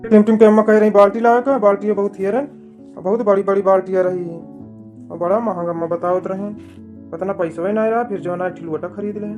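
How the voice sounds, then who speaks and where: A man's voice: high voice, steady tone, a little fast, a little mellow, soft background music, lightly acted. A man voicing a weary, dutiful village son and husband who explains himself plainly in an Awadhi cartoon comedy, with an Awadhi accent. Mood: matter-of-fact.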